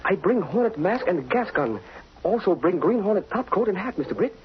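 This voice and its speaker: An actor playing a loyal, eager valet sidekick who speaks clipped broken English in a 1940s radio drama. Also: male voice, high voice, big pitch swings, a little fast. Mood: eager, deferential. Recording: muffled 1944 radio, loud static hiss.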